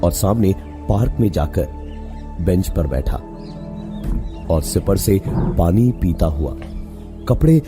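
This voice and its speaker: A man narrating a scripted horror story in a suspenseful, dramatic way with drawn-out phrasing and pauses. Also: low-pitched, animated. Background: music under the voice.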